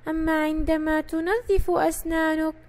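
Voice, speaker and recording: A woman's voice: very high voice, level tone, normal speed, darkish tone. A woman voicing a sensible little boy in an educational children's cartoon, earnest and instructive. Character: sensible, bespectacled little boy advising his friend.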